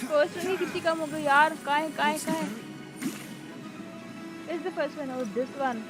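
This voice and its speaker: Woman, Indian accent, high voice, casual gamer commentary, exclaiming in short bursts, music in background. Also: racing. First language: Hindi. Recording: game music behind the voice.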